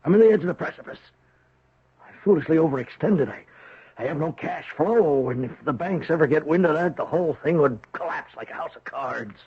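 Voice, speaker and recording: An actor playing a desperate, middle-aged businessman father, pressing and blustering in a husky voice in a 1970s radio drama. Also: on the high side, expressive, fairly quick. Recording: dull, lo-fi old radio recording.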